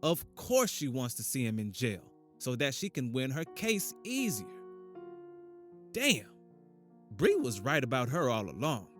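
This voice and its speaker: A man's voice: mid-range voice, huge pitch swings, measured pace, a bit bright. A man narrating a scripted drama, telling the story and a character's thoughts in dramatic prose. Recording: piano music under the voice. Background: piano music.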